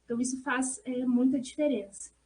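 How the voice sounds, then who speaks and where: A Brazilian woman speaks as a researcher in an academic discussion. Her voice sits high and has a mellow, gentle tone. She explains in an earnest, discursive way, often adding little check-in tags. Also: steady tone, a little fast. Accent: Brazilian Portuguese.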